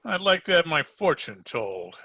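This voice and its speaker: A man's voice: on the high side, very animated, moderate pace, bright, forceful tone, dry recording, heavily acted. A man playing a wisecracking, sardonic private detective in an old-time radio drama, slangy and needling. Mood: teasing. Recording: home recording over video call.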